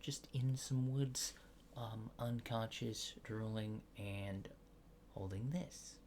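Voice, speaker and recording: A man doing a soft-spoken, partly whispered ASMR roleplay as a calm, casual alien examiner, reassuring and hesitant in an unhurried way. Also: lowish voice, slight background noise.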